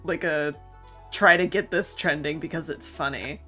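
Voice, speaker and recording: A woman's voice: low voice, normal speed, fairly bright tone. A woman chatting casually and jokingly as a panelist on a podcast. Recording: music behind the voice.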